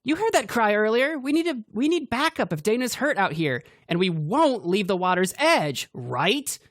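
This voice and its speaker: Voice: mid-range voice, lively intonation, moderate pace, no echo, clean studio sound, voice pushed up, close to an everyday voice. A narrator voicing a bossy, foul-mouthed young woman who barks orders and snaps at others. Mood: commanding. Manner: sharp, commanding, swearing.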